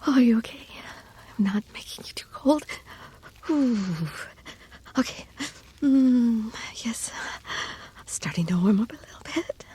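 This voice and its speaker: A woman playing a shy, affectionate lamia, soft and hesitant, often near a whisper, in an intimate roleplay audio drama. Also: on the high side, lively intonation, unhurried, pauses often, a little hiss or hum. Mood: shivering, grateful. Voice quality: breathy, often dropping to a whisper. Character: shy, cold lamia snake-woman, affectionate childhood friend.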